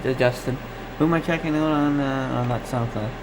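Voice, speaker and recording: A man's voice: mid-range voice, a little fast, background noise. A man hosting a casual live stream, chatting with viewers and thinking aloud in a rambling, distracted way. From Canada. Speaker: live stream host, radio hobbyist. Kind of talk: casual live-stream chat, reading and answering comments.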